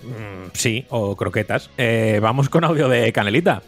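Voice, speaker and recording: A man's voice: mid-range voice, expressive, measured pace, faint music behind. A man hosting a casual gaming podcast, joking with his co-hosts and laughing often. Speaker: podcast host. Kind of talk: casual chat.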